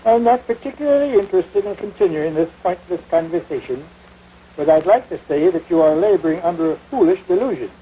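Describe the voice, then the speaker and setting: A man's voice: high voice, expressive, fairly quick, mellow. An actor playing a pompous, long-suffering American husband and father in a domestic comedy, speaking formally with dry sarcasm. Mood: aloof, irritated. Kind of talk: domestic radio-style situation comedy. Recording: noisy vintage broadcast recording.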